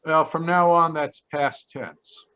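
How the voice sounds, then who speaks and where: A man playing a wry, blunt private detective in a 1940s radio mystery, with a deadpan, wisecracking delivery. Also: a little high, slow pace, clean tone. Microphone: video call. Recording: dull lo-fi video call audio.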